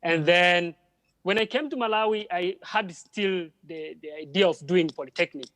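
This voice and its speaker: A man from DR Congo, a French speaker, speaking as a panel guest in halting English full of restarts. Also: high-pitched, a bit bright, dry recording. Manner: halting, with frequent restarts and repeated words. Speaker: panel guest, drone project worker. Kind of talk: live panel conversation.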